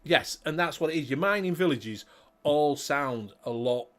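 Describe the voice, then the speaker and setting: Brief: male host with a Leeds Yorkshire accent, slightly higher voice, loose and sweary, circles back on himself. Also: lively intonation, normal speed, fairly bright tone. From Leeds, England. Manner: rambling, sweary, repeats himself. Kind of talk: casual livestream chat.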